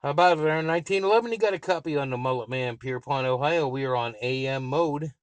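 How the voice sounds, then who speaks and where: A man's voice: mid-range voice, fast. Enthusiastic American man reviewing gear. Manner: enthusiastic, plain-spoken. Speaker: radio hobbyist and video reviewer. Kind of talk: casual product review and radio check.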